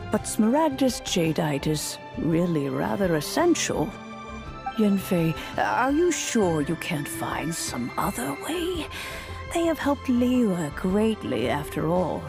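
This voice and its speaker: A woman voicing a kindly elderly granny in a video game, speaking in a formal, measured, gracious way. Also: fairly low pitch, vocal fry, full character voice. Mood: concerned, insistent. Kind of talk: video game character acting.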